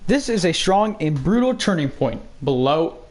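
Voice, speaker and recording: A man's voice: a little high, big pitch swings, normal speed, a little mellow. A man reading a scene summary aloud.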